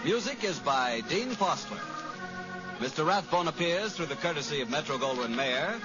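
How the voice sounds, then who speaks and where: An American man works as a vintage radio announcer and pitchman. His fairly high voice swings expressively through a deliberate, polished delivery. The old broadcast sounds dull, with music behind him. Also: animated.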